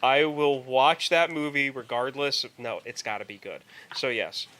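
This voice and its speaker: A man with a bright, keen-edged voice at an average pitch. He chats casually as a podcast co-host, often repeating a word while he works out his thought. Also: normal speed. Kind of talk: casual chat about movies and video games.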